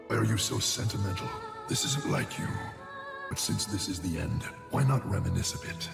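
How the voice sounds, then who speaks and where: Cavernous, gravelly ancient fox spirit. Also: male voice, expressive, normal speed. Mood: brooding, reflective. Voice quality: deep gravelly growl. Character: ancient giant fox spirit, male, gruff, wry, resigned. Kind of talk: dubbed anime voice acting. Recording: cavernous reverb under loud music.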